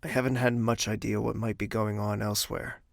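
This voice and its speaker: An actor playing a weary, guarded young prince in an audio drama. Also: male voice, on the low side, little pitch movement, a little fast, vocal fry, dry recording, clean studio sound. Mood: weary, wry. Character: wary, weary young prince recovering from confinement.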